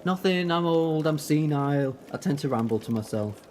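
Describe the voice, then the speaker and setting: A man's voice: fairly high pitch, expressive, normal speed, a little mellow, a little hiss or hum, close to an everyday voice. A man playing an eccentric, defiant, youthful-looking time traveller in an audio drama, rambling in a playful, theatrical way. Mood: playful, flustered. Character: eccentric, whimsical, defiant time-travelling alien Doctor who looks young.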